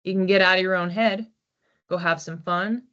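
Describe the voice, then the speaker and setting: Low-voiced woman giving a chatty card reading. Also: fairly even intonation, moderate pace, smooth. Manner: chatty, thinking aloud with restarts and soft laughs. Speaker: psychic card reader. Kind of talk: relaxed solo oracle reading. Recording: slightly dull lo-fi audio.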